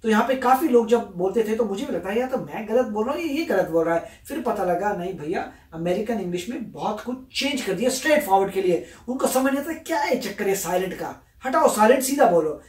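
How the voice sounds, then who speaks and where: A man's voice: high-pitched, animated, brisk, hardly any pauses. A man from India explaining patiently in casual chat, often slipping into English phrases. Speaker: reaction vlogger. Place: US home. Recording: echoey room, far from the mic.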